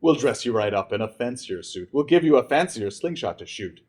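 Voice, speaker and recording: A man's voice: mid-range voice, lively intonation, brisk, darkish tone, close to an everyday voice. A man voicing a smug, reassuring storybook chief with a confident, patronizing manner.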